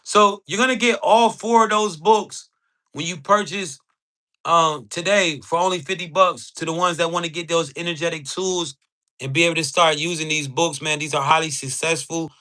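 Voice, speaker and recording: A man giving an informal, emphatic livestream sales pitch to his chat, talking in slang with frequent tag words. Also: fairly even intonation, normal speed, bright, forceful tone, rough recording. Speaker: live streamer selling spiritual ebooks.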